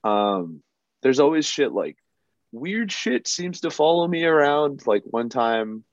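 A man's voice: medium pitch, big pitch swings, slow, dry recording. A man who plays in a band, casually telling profane, self-deprecating anecdotes as an interview guest. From Canada. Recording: dull lo-fi sound.

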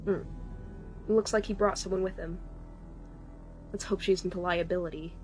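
A woman's voice: lowish voice, fairly even intonation, normal speed, over music, lightly acted. A woman playing a condescending, impatient chief engineer with a technical way of talking in a science fiction audio drama. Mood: wary.

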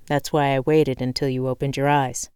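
A woman's voice: a bass voice, flat delivery, moderate pace, mellow, smooth, dry recording, clean studio sound. A woman voicing a beaming, serene male locksmith in an audiobook, cheerful and earnest. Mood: apologetic. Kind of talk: acted audiobook dialogue.

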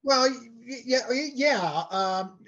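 An older man chatting as an interview guest, halting and repeating words as he searches for his phrasing. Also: extremely high pitch, lively intonation, fairly bright tone. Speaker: guest, playwright and comedy writer. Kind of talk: casual interview chat. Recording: dull, lo-fi voice track.

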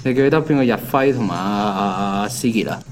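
A man's voice: on the low side, brisk, natural voice. An actor with a Hong Kong accent playing an easygoing man in his twenties, chatty and given to sighing, in a naturalistic audio drama. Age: 20s. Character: easygoing young man, sighing over his demanding girlfriend.

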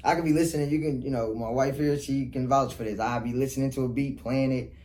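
A man's voice: mid-range voice, fairly even intonation, quick pace, darkish tone. A young man with a Detroit accent, a rapper talking casually in an interview, rambling and laughing often. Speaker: rapper guest.

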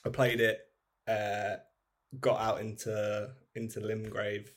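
Male guest, fairly low register, casual storyteller, informal and sweary. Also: monotone, takes it slowly. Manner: informal, sweary, quotes himself. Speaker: podcast guest. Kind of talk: casual anecdotal chat.

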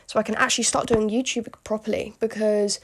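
British woman, late teens, podcast host; gravelly fry, rambling candid delivery with lots of fillers. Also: on the high side, steady tone, fairly quick. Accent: British English. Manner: rambling and candid, with many fillers and frequent asides to the listener. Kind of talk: casual solo podcast monologue.

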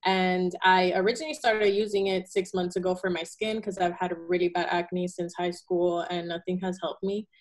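A woman's voice: on the low side, flat delivery, moderate pace, hardly any pauses. A young woman from Venezuela, a guest in a casual interview, talking earnestly with fillers and self-corrections.

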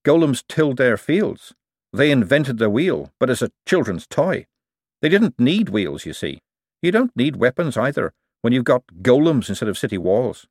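Brisk woman as a man reads her, storybook character, insistent, self-assured, higher-pitched, close dry sound. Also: sing-song, no echo. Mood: lecturing, impatient. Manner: explanatory, insistent. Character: brisk, stubborn, self-assured young woman explaining herself.

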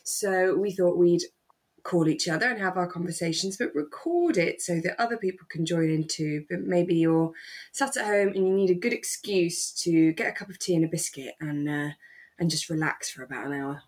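British woman, slightly low voice, informal podcast co-host, careful phrasing, casual chat. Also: animated, moderate pace, darkish tone. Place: remote call from home.

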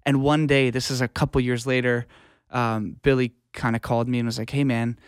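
A man's voice: medium pitch, little pitch movement, fairly quick, smooth, no room sound. Casual man with a dry, close sound. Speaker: music producer and audio engineer guest. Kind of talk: casual interview chat.

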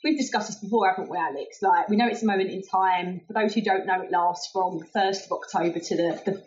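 A woman co-hosting a podcast in a friendly, conversational way, with a mid-range voice. She uses loose, casual phrasing and gently leads into the guest's introduction. The audio is a little dull and lo-fi. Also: mid-range voice, fast talker. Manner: friendly, chatty, lots of "like" and "you know". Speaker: podcast co-host.

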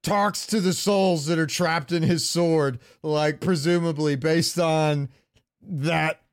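A man's voice: on the high side, fairly even intonation, takes it slowly, no echo, studio quality. A man co-hosting a casual podcast, talking in a rambling, enthusiastic fan's way. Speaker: podcast co-host. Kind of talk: casual chat about games.

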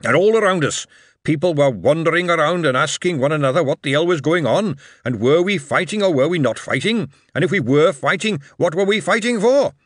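Old dwarf cobbler, read by a man, gruff and blunt, lively in the telling, dry sound. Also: on the high side, fairly quick, no echo, voice pushed up. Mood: gruff reminiscing. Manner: gruff, telling a war story with rising excitement.

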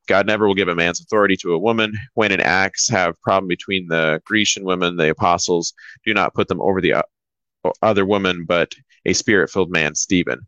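A man's voice: sits low, fairly bright tone, dry recording, dull audio. A man co-hosting a church talk show, chatting casually in a rambling way. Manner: rambling, many fillers and restarts. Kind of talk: casual chat.

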